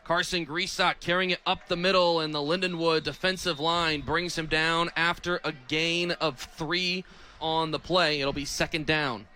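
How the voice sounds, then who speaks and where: A man in his twenties with an American accent calling football play-by-play on the radio in an energetic, descriptive way. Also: on the high side, moderate pace. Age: 20s.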